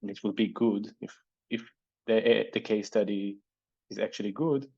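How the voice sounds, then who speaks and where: Hebrew-accented man with a low, dark voice. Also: moderate pace, vocal fry, breathy edge, no room sound, muffled recording. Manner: thoughtful, hesitant, many fillers and restarts. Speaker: event host reading audience questions. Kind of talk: casual online panel Q&A.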